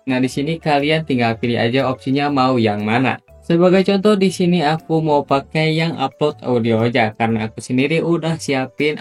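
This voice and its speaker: A man narrating a casual, scripted tutorial as its host. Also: mid-range voice. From Indonesia, Sunda region. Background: background music.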